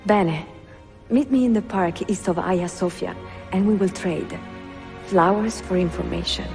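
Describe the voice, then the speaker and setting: An actress playing a cultured, gracious and gently playful Italian woman in a video game, with an Italian accent. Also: medium pitch, moderate pace, lightly acted. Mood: gracious, conversational. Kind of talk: cinematic video game acting. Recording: music behind the dialogue.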